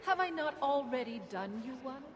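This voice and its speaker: An actress playing a proud, sardonic Norse woman bearing ominous news in a video game, measured and taunting. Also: sits high, lively intonation, big-room sound, a crowd in the background. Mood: defiant. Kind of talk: dramatic cinematic video game acting.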